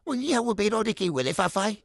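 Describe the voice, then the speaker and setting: Woman acting a dramatic boss, pompous, demanding, lively pitch swings, dry close sound, comedic radio drama. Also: fast talker, dry recording. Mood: delighted. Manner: pompous, theatrical. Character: dramatic, demanding boss who talks in abstract riddles.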